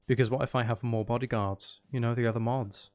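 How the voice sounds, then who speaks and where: A man's voice: medium pitch, steady tone, a little fast, darkish tone, slight background noise. A man chatting casually as he hosts a gameplay livestream, teasing his viewers with playful mock threats. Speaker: streamer, host.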